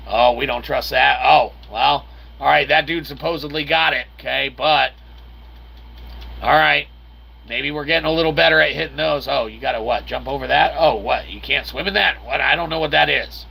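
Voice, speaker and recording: A man giving casual video game commentary in a playfully cocky manner, talking as "we", with a gravelly voice. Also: fairly high pitch, sharp tone. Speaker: gameplay host.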